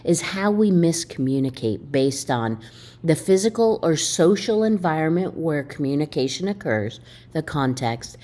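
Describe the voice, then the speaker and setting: A woman instructor giving a recorded video lecture in an emphatic way, asking her students questions as she explains. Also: very deep voice. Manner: animated, emphatic, asks the listener questions. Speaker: college instructor.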